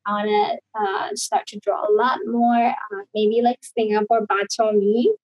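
A woman's voice: medium pitch, level tone, a little slow, no echo, highs cut off. A woman from Indonesia, a guest talking casually over a video call, rambling with many fillers and restarts.

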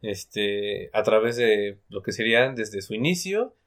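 A man co-hosting a casual literary discussion in a tentative, halting, conversational way. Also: fairly low pitch, expressive, fairly bright tone. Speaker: podcast co-host.

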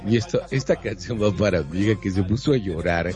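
A man's voice: on the low side, expressive, a little fast, music in the background. A man with a northern Mexican accent co-hosting a casual radio chat in a playful, teasing, rambling way. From Monterrey, Mexico. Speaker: radio show co-host.

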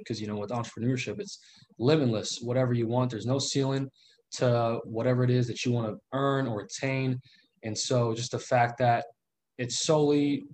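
A man's voice: medium pitch, darkish tone, slight background noise. A man in his twenties, a guest in a casual interview, earnest and loose with fillers. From Southern California. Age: 20s. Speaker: guest, real estate investor.

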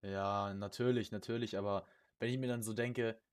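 A young man co-hosting a casual podcast chat, slangy and jokey, often repeating words and phrases in quick bursts. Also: on the low side, steady tone, clear, resonant tone. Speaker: podcast co-host.

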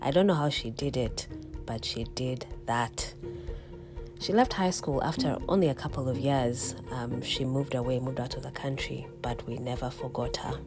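A Ugandan woman hosting a personal storytelling podcast, slightly croaky from a cold, reflective and quick to chuckle. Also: rumbling low, music in the background. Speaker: podcast host.

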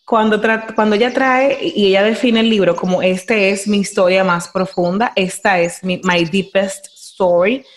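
A young Dominican woman with a Dominican Spanish accent, hosting a podcast in animated casual chat, slipping into English phrases. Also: mid-range voice, measured pace, hardly any pauses. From Dominican Republic. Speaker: podcast host.

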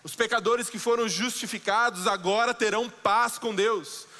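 A man preaching a sermon as pastor, slowing down and dropping low for dramatic quoted lines. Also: fairly even intonation, bright, forceful tone, long reverb tail. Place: church sanctuary.

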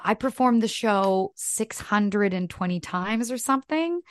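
A woman's voice: medium pitch, no echo, studio quality. A woman in her thirties from Canada whose first language is French, chatting in a casual interview and telling stories with many "like" fillers. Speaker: actress, podcast guest.

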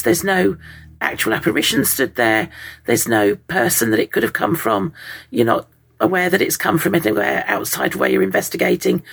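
A woman talks through her subject in a steady, explaining way, her voice worn croaky by a summer cold. There's a heavy gravelly fry in it and a husky edge. Her pitch still moves about freely as she speaks. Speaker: podcast co-host. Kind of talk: casual explanatory talk.

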